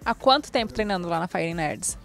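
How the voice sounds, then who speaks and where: A young Brazilian woman working as a reporter, asking quick, bubbly questions into a handheld mic in front of a press backdrop. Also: lowish voice, expressive, fairly bright tone, soft background music. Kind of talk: interviewing.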